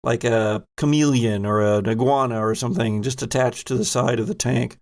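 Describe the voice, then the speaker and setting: Gravelly man narrating tabletop horror. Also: medium pitch, steady tone, moderate pace, darkish tone. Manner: descriptive, suspenseful storytelling. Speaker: game master and host. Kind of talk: dramatic improvised narration of a tabletop horror game.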